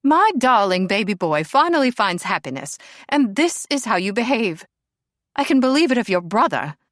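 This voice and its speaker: Theatrical aristocratic mother, played by a woman, grand, gushing, high and sweeping. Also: sing-song, moderate pace, dry recording, studio quality. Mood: indignant. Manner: grand, gushing, over-the-top.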